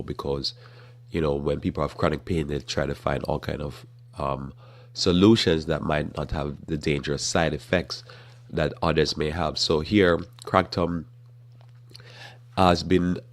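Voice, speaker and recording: A man hosting a live talk radio program, reading aloud and commenting in a halting way with many fillers and self-corrections. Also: rumbling low. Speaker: radio talk show host.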